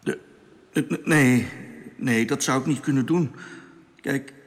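A nervous, plain-spoken crewman stranded far from home, acted by a man in a science-fiction radio drama. His voice is mid-pitched with plenty of creak, and he talks politely in a hesitant, stumbling way. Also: measured pace, lightly acted. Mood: curious, uneasy. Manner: hesitant, stammering when uneasy.

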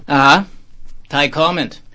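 An actor playing a shrewd, middle-aged editor-in-chief in a 1970s German radio play, curt and businesslike. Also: male voice, fairly high pitch, fairly even intonation, slow, pushed and bright. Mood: brisk, approving. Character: brisk, shrewd magazine editor-in-chief, a commanding boss.

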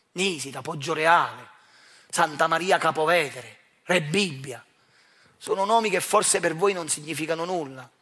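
Man, Neapolitan accent, theatrical monologue, slightly raised pitch, breathy rasp, intense direct address. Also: a bit bright. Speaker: stage actor.

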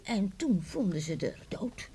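An actress playing a village woman who tells eerie folk tales to youngsters in an old radio play, in a folksy, drawn-out way. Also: mid-range voice, sing-song, warm tone, husky, exaggerated voice. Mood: eerie relish. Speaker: storyteller. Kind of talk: radio drama acting.

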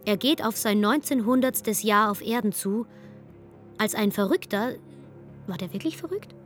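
A woman narrates a radio drama with faint music behind her. Her delivery is scripted, poetic and dramatic, at an average pitch. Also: lively intonation, moderate pace.

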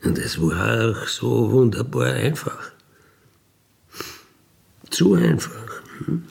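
An older man with an Austrian accent telling a reflective personal story in a halting, colloquial way with little questioning tags. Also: medium pitch, very animated, gravelly, darkish tone. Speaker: former prisoner telling their life story. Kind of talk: reflective autobiographical monologue.

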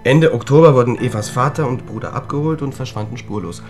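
A male actor plays a sober scholar in a radio drama, setting out a dark history. His voice is mid-range, and he speaks with grave, careful precision. Soft music lies behind him. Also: medium pitch, fast talker, natural voice. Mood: grave, sombre. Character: sober, precise scholarly man recounting a tragedy. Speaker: informant recounting a history. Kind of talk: German radio drama dialogue, explanatory storytelling. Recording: studio radio play with music.

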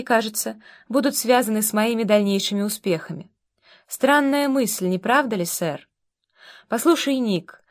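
A woman narrating a novel as an audiobook reader, in a measured, wry storytelling manner. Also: fairly high pitch.

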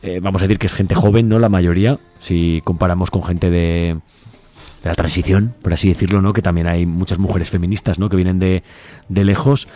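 A man with a slightly low, very mellow and dark voice acts as an interviewer. He speaks in an informal, conversational way, often checking in with short question tags. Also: fairly even intonation, brisk. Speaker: radio host interviewer.

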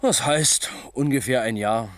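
An actor playing a persistent, exasperated police detective in a radio crime play, probing and cutting in with questions. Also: male voice, medium pitch, measured pace, a bit bright, close to an everyday voice. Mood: matter-of-fact. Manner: probing, interrupting. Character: persistent, exasperated male police detective, father of a small child.

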